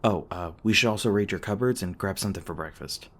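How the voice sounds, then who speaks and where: A man's voice: deep voice, fast, a bit bright. A man playing a teasing, crass but caring demon boyfriend in a conversational audio roleplay, talking casually with some hesitation. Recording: clearly noisy background with ambient sound effects.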